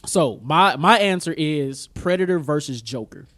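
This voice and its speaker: A man's voice: a little high, expressive, unhurried, a bit bright. A man co-hosting a podcast in casual, slangy chat, with an easygoing, agreeable way of talking. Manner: slangy, informal, easily agreeable. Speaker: podcast co-host. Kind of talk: casual chat about music, films and sports.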